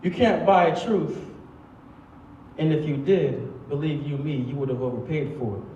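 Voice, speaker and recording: A man's voice: fairly high pitch, a little slow, mellow. A young man delivering a spoken-word monologue on stage. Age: young adult. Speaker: student spoken-word performer. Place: stage in a large room. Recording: echoey, distant, dull, faintly noisy stage.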